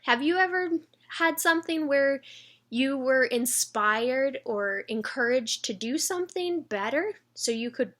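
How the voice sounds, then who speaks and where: A woman's voice: high-pitched, animated, measured pace, fairly bright tone. A woman reading a children's story aloud expressively, engaging young listeners as she goes. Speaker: children's read-aloud reader.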